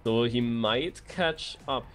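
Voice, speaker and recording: Medium-pitched man co-commentating a racing game. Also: slow pace, clean tone. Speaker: esports co-commentator. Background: music.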